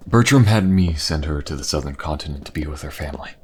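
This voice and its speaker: Fantasy king played by a man, extremely low voice, formal and earnest, devoted father heavy with remorse, noisy backdrop. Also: animated, fast. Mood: remorseful. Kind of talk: fantasy audio drama acting.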